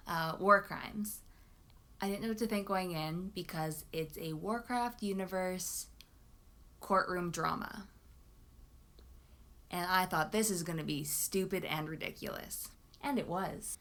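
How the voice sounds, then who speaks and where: Unhurried woman chatting about favourites. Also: lowish voice, fairly bright tone. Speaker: book vlogger. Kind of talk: casual chat to camera.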